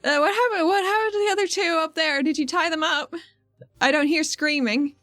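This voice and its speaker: A woman's voice: high voice, a little fast, fairly bright tone, no echo, faint music behind, voice pushed up. A woman voicing a prickly, conflicted adventurer woman in a tabletop game, pointed and curt. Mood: curt, questioning.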